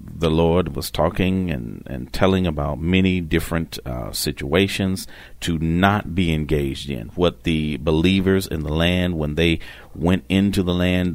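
A man preaching on a broadcast, teaching from scripture in a halting, deliberate way and often repeating his phrases. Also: a bass voice, gravelly, no room sound. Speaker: preacher hosting a religious broadcast. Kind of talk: Bible teaching, unscripted explanation of scripture.

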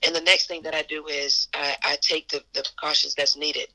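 Hoarse, gravelly woman speaking earnestly. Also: low-pitched, little pitch movement, fairly quick, bright, forceful tone, poor audio. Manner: earnest, faith-driven, repeats phrases for emphasis. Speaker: assistant basketball coach. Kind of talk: casual interview answers.

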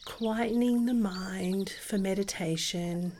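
A woman narrating a guided meditation in a soothing, gentle and unhurried manner. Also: mid-range voice, a little hiss or hum. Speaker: meditation podcast host and guide. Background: music behind the voice.

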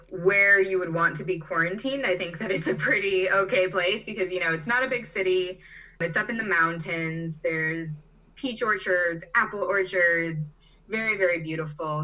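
A young woman in her twenties, from Brazil and Chicago, talks casually as an interview guest. Her voice is bright and fairly low-pitched, and she peppers her sentences with "like" and "you know". She sits a little back from the microphone. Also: normal speed, recorded from a distance, low-quality recording. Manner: chatty, frequent "like" and "you know" fillers. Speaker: interview guest, digital nomad.

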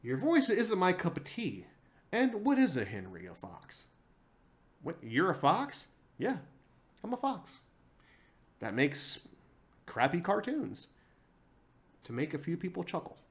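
A man's voice: slow, pauses often. Impassioned male podcast host. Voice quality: self-described monotone voice. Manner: emphatic and repetitive, with big swings in pitch and loudness. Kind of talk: impassioned solo podcast monologue. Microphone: single mic on a laptop.

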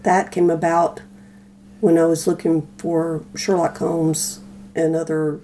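A woman talks casually as a podcast co-host. Her voice is mellow and fairly low, with a faint hum behind it. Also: flat delivery, slow. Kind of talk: casual chat.

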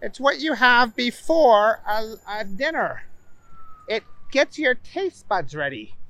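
A reader voicing a greedy, boastful man obsessed with hot dogs, who talks loud, high and drawn-out. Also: very animated, exaggerated voice, rough recording. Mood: demanding.

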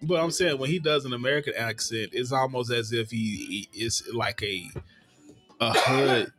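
A man's voice: mid-range voice, moderate pace, fairly bright tone, soft background music. A man chatting casually as a co-host, explaining things at length with frequent restarts. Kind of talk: casual chat.

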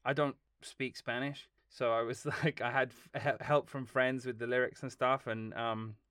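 Animated male film composer, dry sound. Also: mid-range voice, moderate pace, clear, resonant tone, no echo. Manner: animated, rambling storytelling with laughs. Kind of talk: casual interview chat.

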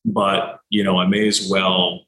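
A doctor and founder in his thirties, telling stories in an animated way and acting out quoted exchanges, with lots of "you know" fillers. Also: low voice, unhurried, roomy sound, poor audio. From Canada. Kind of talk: conversational interview answers.

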